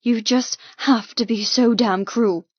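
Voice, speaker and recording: Female narrator as spirited young heroine of audiobook romance: emphatic, challenging, raised pitch, dry and slightly dull recording. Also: fairly even intonation, takes it slowly, husky, dry recording. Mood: accusing. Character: defiant, spirited young woman heroine challenging her captor. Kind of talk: audiobook character dialogue.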